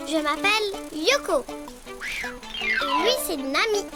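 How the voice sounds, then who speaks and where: A woman voicing a playful, excitable little sidekick in a children's cartoon, eager in manner. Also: very high-pitched, slow, heavily acted. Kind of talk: children's educational cartoon acting. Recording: loud music behind the voice.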